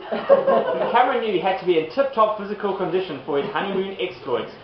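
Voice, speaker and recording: A man with a high, lively voice reads comic narration and slips into giggly stage directions. He is amused by his own material. The recording is muffled and noisy, in an echoey room. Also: a little fast. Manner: giggly, amused, often breaking off to prompt performers. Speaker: narrator of a puppet show. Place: live performance in an echoey room.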